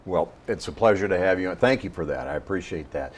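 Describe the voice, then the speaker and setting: A man's voice: low-pitched, expressive, brisk. A man hosting a television interview program, with a polished announcer's delivery as he introduces and questions a guest. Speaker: host.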